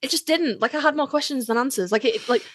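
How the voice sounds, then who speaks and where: A woman co-hosting a podcast, chatting casually as a fan in an animated, emphatic, joking way with plenty of swearing. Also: high voice, fast, a little hiss or hum. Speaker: podcast co-host. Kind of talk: casual fan chat about a TV series.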